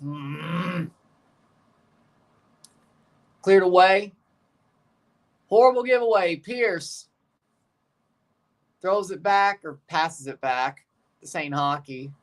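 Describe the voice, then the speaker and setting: A man hosting a livestream watchalong, calling the soccer match like a commentator and throwing dry asides to his chat. His voice is a little hoarse. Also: high voice, expressive, slow pace, long pauses. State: slightly hoarse. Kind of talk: live match reaction and play-by-play.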